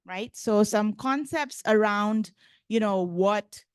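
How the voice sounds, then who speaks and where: A woman's voice: medium pitch, slow pace, a little mellow, clear, resonant tone, no echo. A woman from India giving a casual conference lecture, chatty and full of fillers like "like" and "you know". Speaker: professor lecturing.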